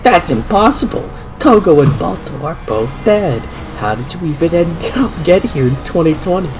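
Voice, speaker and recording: A man's voice: on the high side, lively intonation, normal speed, over music, voice pushed up. A man voicing a timid, easily startled young hero in a story, earnest and flustered. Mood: shocked.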